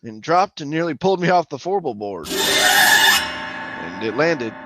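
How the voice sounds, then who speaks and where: A man's voice: a little high, sing-song, unhurried, over loud music, rough recording. A man playing a jittery, haunted oil rig worker telling his suspense story in colloquial, stammering old-time radio style. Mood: uneasy recounting. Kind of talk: old-time radio drama narration, first-person suspense.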